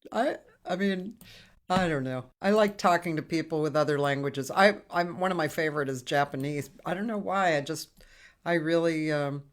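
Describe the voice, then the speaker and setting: A woman's voice: sits low, moderate pace. A woman hosting a live online show, talking casually and rambling, with many restarts. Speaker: host. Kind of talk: casual chat.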